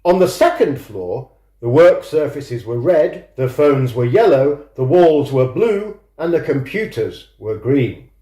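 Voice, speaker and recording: Slow, animated male storyteller in roomy sound. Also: medium pitch. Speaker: author introducing and reading own book. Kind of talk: scripted introduction and literary story reading.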